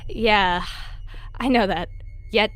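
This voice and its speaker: A woman voicing a suspicious young tomcat apprentice in a voice roleplay, prying and quick to ask questions. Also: mid-range voice, fairly bright tone, poor audio.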